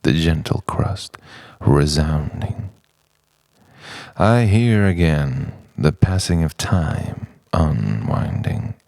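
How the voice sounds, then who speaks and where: An extremely low-voiced man speaks an introspective spoken-word piece, slowly and in halting phrases. His gravelly delivery moves widely in pitch and sometimes sinks toward a whisper, with dramatic pauses between thoughts. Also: a little mellow, no echo. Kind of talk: introspective spoken-word monologue, poetic letter to himself.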